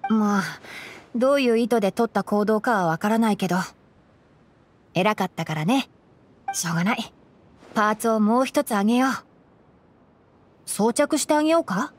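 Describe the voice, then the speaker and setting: A woman voicing a calm, clever young male forest ranger with a composed, explanatory, boyish manner in an anime game. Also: a little high, normal speed, pauses often, slight background noise, close to an everyday voice. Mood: gently teasing. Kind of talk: Japanese anime game voice acting.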